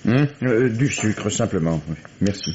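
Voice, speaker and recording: An actor playing a shrewd, courteous police commissioner in a radio mystery, questioning in a measured way with hums and pauses. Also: male voice, on the low side, animated, a little mellow. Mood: calm, probing. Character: shrewd, courteous, probing police commissioner, middle-aged man. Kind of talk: old French radio mystery play acting. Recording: muffled, noisy old radio drama recording.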